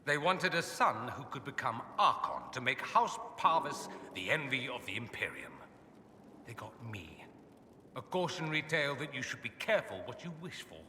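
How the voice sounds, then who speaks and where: Urbane, animated aristocratic mage in echoey hall. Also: male voice, mid-range voice, moderate pace. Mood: dry, bitter. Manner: urbane, flamboyant, dryly self-mocking. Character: witty, proud, theatrical aristocratic mage exile. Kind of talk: video game dialogue acting. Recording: echoey reverberant hall, faint background noise.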